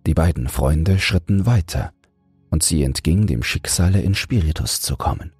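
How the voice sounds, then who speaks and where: A man's voice: rumbling low, animated, normal speed, darkish tone, husky, no echo, faint music behind. A man narrating a fairy tale as a scripted bedtime audiobook.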